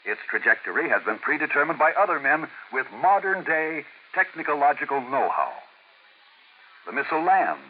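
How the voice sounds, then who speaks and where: A male announcer delivers a measured, declamatory scripted commentary with a lively, rising and falling pitch. The old broadcast sounds thin and phone-like, with a faint hiss underneath. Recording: tinny old radio broadcast with faint noise.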